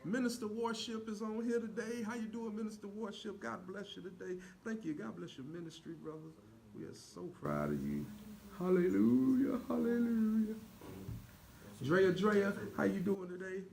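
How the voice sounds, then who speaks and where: A man's voice: high voice, lively intonation, moderate pace, gravelly, darkish tone. A man co-hosting a livestream with playful, teasing banter and mock-churchy exclamations. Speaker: co-host greeting live viewers. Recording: noisy live video stream.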